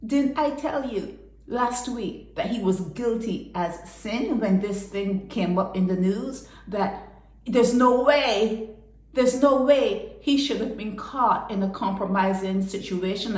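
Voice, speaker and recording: A woman giving impassioned solo commentary, firing indignant rhetorical questions that leap up sharply in pitch. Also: roomy sound, low-quality recording.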